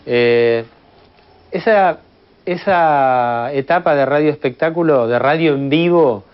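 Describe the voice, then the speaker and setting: A man's voice: a little high, slow, clean tone. A man with an Argentine accent explaining methodically in an interview, like an expert. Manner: methodical, didactic. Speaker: radio history expert. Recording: slightly dull lo-fi sound.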